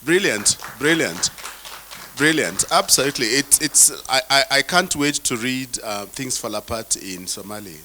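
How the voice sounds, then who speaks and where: A man's voice: mid-range voice, animated, normal speed, bright, forceful tone, a little hiss or hum. A man hosting a workshop discussion on a video call, encouraging and emphatic.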